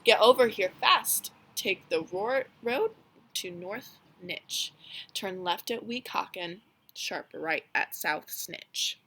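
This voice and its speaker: A greedy male businessman from a storybook rattles off an eager sales pitch. A woman voices him brightly and forcefully. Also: expressive, slow, slight background noise, rough recording.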